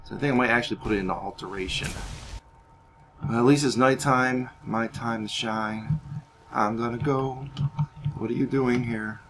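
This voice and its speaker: A man's voice: medium pitch, normal speed, pauses often, a bit bright, a little hiss or hum. A man giving gameplay commentary as roleplay, musing aloud in character. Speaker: gamer playing in character.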